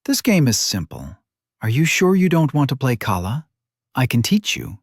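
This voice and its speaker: A man performs a young noblewoman from a fantasy audiobook. She is practical, determined and sure of herself, pitched above the reader's usual voice and speaking briskly. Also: very animated, husky, no room sound, studio quality. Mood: confident.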